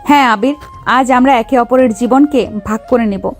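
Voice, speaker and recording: A young bride, played by a woman, loving yet feisty, in an audio romance. Her voice sits very high, over soft background music. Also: normal speed, lightly acted. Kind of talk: audio love story acting.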